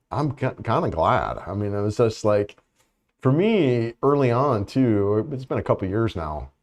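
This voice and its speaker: A man's voice: medium pitch, darkish tone. A middle-aged man rambling through a casual live stream, thanking viewers for comments, with lots of fillers and restarts. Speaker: vlogger hosting a live chat.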